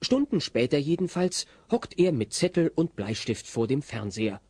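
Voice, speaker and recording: A man playing the wry, ironic narrator of a family comedy audio play, reading scripted narration in a husky voice. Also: fairly high pitch, sing-song, moderate pace, darkish tone, dry recording, heavily acted.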